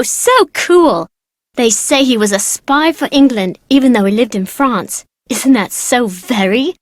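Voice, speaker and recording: High-pitched, precocious young girl. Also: dry recording, studio quality. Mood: playful, eager. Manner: girlish, casual slangy talk with playful teasing. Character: chatty precocious young girl, proud of her aristocratic family tree. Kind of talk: video game character dialogue.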